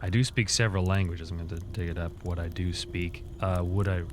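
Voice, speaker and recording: Low-pitched man playing a tabletop game. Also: steady tone, fairly quick. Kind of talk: casual in-character game talk. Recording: faint background noise.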